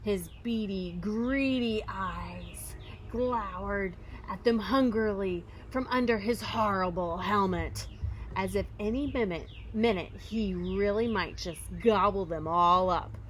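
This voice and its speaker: A woman reading a children's story aloud expressively, with dramatic emphasis, pauses and occasional hushed phrasing. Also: mid-range voice, a little hiss or hum. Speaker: storybook reader.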